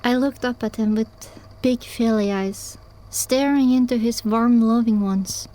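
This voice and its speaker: A woman playing a young pony mare who tells her own story in earnest, measured audiobook narration. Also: a little high, fairly even intonation, mellow, lightly acted, low-quality recording. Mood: reflective, earnest. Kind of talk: scripted first-person audiobook narration.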